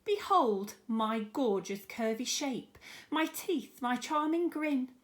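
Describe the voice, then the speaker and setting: A woman's voice: fairly high pitch, expressive, slow, voice pushed up. Woman acting bony spiteful aunt, screeching, snappish, bossy, vain, storybook villain. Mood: vain, gushing.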